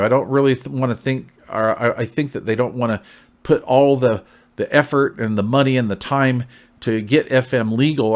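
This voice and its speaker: An American man hosting a casual product review in a folksy, long-winded, conversational way, often checking in with the listener. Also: medium pitch. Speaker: CB radio reviewer, channel host.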